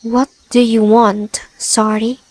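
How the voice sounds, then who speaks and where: Spider-woman, voiced by a woman, haughty, scornful, slightly high voice, dry close sound. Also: level tone, takes it slowly, darkish tone, dry recording. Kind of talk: ASMR audio roleplay.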